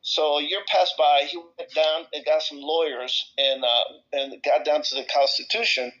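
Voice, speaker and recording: A man from El Salvador talking casually and off the cuff in a group discussion, in a halting, rambling way with repeated words and broken-off thoughts. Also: on the high side, fairly even intonation, a bit bright. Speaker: discussion participant. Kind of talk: casual, impromptu personal talk in a group discussion. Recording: slightly dull, lo-fi audio.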